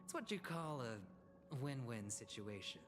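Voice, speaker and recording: An actor playing a smooth, scheming young corporate negotiator and gambler in a video game, with sly, teasing pauses. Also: male voice, mid-range voice, big pitch swings, over music. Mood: breezy persuasion.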